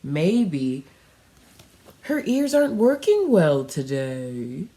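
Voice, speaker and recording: A woman voicing a patient female pig schoolteacher in a children's storybook, explaining things in a calm, measured, reassuring way. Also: low voice, voice pushed down, full character voice. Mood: gently firm.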